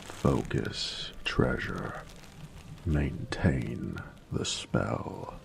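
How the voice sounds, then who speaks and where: A man playing a flirty, adoring dragon mentor in an intimate romantic audio roleplay, speaking formally in a gravelly voice that often drops to a whisper. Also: very deep voice, animated, exaggerated voice. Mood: patient, coaxing. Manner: slow, formal, doting, seductive.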